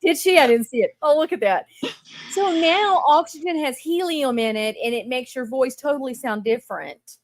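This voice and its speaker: A woman's voice: sits high, moderate pace, no room sound. A woman with a Texan accent co-hosting a casual live-stream chat, full of sarcastic, mocking asides. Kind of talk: casual drama commentary chat.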